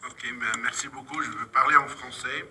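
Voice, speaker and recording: Bright-voiced man with an Arabic-speaking background. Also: mid-range voice, steady tone, slight background noise. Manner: halting, many fillers and restarts. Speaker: NGO vice president giving closing remarks. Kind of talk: conference speech. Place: UN committee room.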